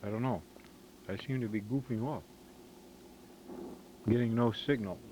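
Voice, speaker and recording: A man making a halting, tentative, off-the-cuff demonstration into a tape recorder on a dull amateur recording. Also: mid-range voice, background noise. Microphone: microphone at varying distance.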